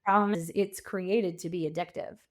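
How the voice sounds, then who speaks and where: A woman speaking as a coach and podcast guest, reflective and conversational, with emphatic phrasing and deliberate pauses. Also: fairly low pitch, fairly even intonation. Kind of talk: conversational interview.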